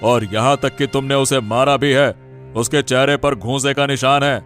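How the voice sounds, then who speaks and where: A commanding village headman played by a man from a cartoon moral tale. His voice is medium-pitched, authoritative and curt, with faint music behind it. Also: fairly even intonation, normal speed, fairly bright tone, natural voice. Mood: stern, angry. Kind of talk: Hindi cartoon moral-story acting.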